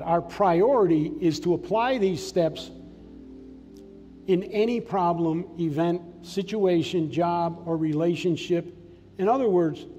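An older man delivers an earnest, plainspoken sermon from a church stage, his voice a little higher than most men's but mellow and soft in tone. A long reverb from the sanctuary trails his words, and faint music sits underneath. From Massachusetts. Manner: earnest testimony, personal and plainspoken. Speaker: preacher.

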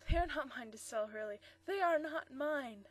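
A woman's voice: high voice, measured pace, a little mellow. A woman reading the part of a nervous old male antique dealer who stammers and pleads. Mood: anxious.

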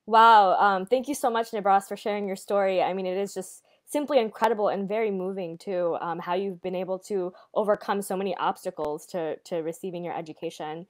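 A woman's voice: mid-range voice, fairly even intonation, fairly quick, hardly any pauses. A young woman raised in the United States, moderating a video-call interview and reflecting thoughtfully on her own past. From United States, born in Bangladesh. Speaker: event moderator, nonprofit director. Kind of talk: conversational interview. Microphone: video call webcam.

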